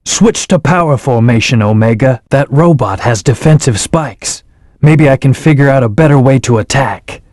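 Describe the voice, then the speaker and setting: A cool, aloof male hedgehog hero from a video game in a mid-pitched voice. He speaks in calm, terse commands, and the studio sound is dry and close. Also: no echo. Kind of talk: video game voice acting, tutorial hints. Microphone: studio microphone.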